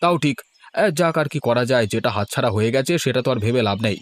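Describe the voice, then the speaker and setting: A man's voice: mid-range voice, expressive, fast, dry recording, close to an everyday voice. A man voicing a hard-pressed village farmer husband in a cartoon, grumbling and placating. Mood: scheming, resigned. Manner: protesting, placating. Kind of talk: Bengali cartoon dubbing.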